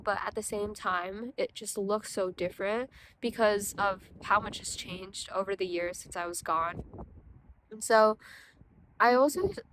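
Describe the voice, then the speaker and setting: A woman's voice: medium pitch, fairly bright tone, a little hiss or hum, low-quality recording. A teenage girl from China reflecting in a personal vlog, thoughtful and hesitant, with many fillers and restarts. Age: teens. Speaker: vlogger.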